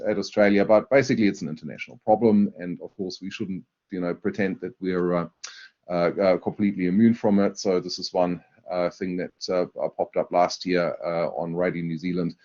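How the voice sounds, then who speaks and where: Deep-voiced German man, hesitant lecturer. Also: fairly even intonation, a little mellow. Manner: halting, many fillers and restarts. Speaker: university lecturer presenting a webinar. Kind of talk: webinar presentation. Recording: dull lo-fi webinar audio.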